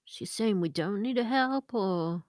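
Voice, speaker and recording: A woman's voice: medium pitch, animated, measured pace, a little mellow, dry recording, clean studio sound. A woman playing a tabletop role-playing game, talking casually at the table.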